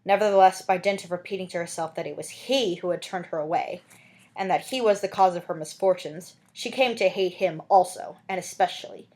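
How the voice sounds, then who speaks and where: A woman narrating a story as an amateur audiobook reader, in an expressive literary style. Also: on the low side, a little fast.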